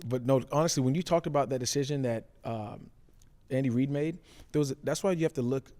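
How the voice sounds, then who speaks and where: A man with a mellow, dark-edged voice talks sports at a quick clip. He is casual and slangy, circling back to repeat phrases as he makes his points as an analyst. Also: mid-range voice. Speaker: former player turned sports show analyst. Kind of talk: casual sports show discussion.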